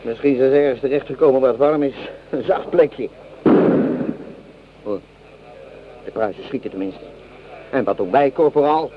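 A man's voice: mid-range voice, normal speed, long pauses, a little hiss or hum, close to an everyday voice. A man playing a hungry, mocking French common soldier in a Dutch radio drama, with coarse, sneering complaints. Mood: sour mocking. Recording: dull lo-fi radio play recording.

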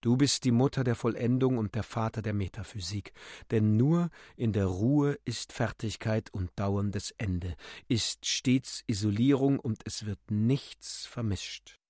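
A man giving a solemn, declamatory and deliberate dramatic recitation of literary text for a radio play. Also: on the low side, mellow, dry recording, clean studio sound. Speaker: narrator and reciter.

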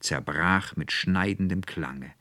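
Mann spielt ernste, entschlossene junge Frau, emphatisch. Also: male voice, low voice, slow, pushed and bright, no echo, full character voice. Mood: earnest confession. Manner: emphatic, formal old-fashioned declaiming. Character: earnest young woman confessing, resolute and effusive. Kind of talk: literary audiobook character dialogue.

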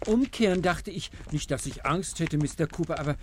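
A man's voice: a little high, brisk, a little mellow, close to an everyday voice. A man playing a small, timid, superstitious gangster sidekick in a 1950s radio crime satire, fretful, hesitant and wordy. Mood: timid, worried. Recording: noisy old radio play recording.

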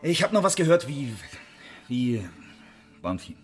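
A cornered man under questioning, mid-pitched and wary. He speaks haltingly, giving up as little as he can. The scene is a radio play with faint music behind. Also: sing-song. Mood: reluctant, cowed. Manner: guarded, reluctant, halting. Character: cornered, intimidated male informant under interrogation. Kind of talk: German radio drama acting.

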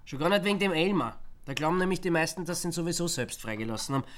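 An actor playing an awkward, polite, reluctant private investigator in a radio play, matter-of-fact, with an Austrian accent. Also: male voice, on the high side, rapid-fire, fairly bright tone, close to an everyday voice. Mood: frank, reluctant.

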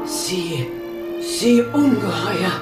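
Slow, aghast secretary. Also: female voice, lowish voice, expressive. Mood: shocked, horrified. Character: aghast, timid female part-time office secretary. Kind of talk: radio play acting. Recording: echoey, loud music behind. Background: music.

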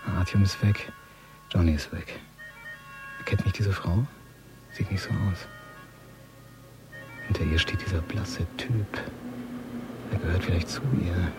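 A deep-voiced, cynical gangster thinks aloud in a radio play. He is tense and watchful, speaking in clipped, muttered fragments that tend toward a whisper. Music plays clearly behind him. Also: steady tone, a little slow, pauses often. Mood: tense, suspicious. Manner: clipped muttered phrases, often dropping to a whisper. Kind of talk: radio drama interior monologue.

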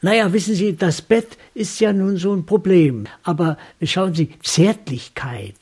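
A man's voice: sits high, a little slow, a little mellow, slightly rough. An actor playing a lonely, courteous man in his eighties, speaking reflectively in an improvised radio drama. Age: 80s.

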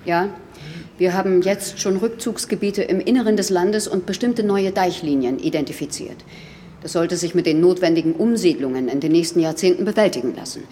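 A woman's voice: lowish voice, fairly quick, mellow, a little hiss or hum, lightly acted. A woman playing a businesslike government official in a radio drama, precise, dry and matter-of-fact. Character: businesslike female government official reporting crisis plans.

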